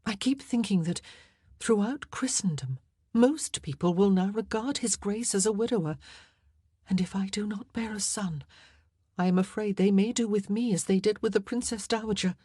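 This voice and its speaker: A woman voicing an anxious, proud young queen in an audiobook, speaking in an earnest, measured way. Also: medium pitch, mellow, slightly rough, no echo, studio quality, pitched above the performer's own voice, close to an everyday voice. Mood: fearful. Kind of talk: audiobook character dialogue.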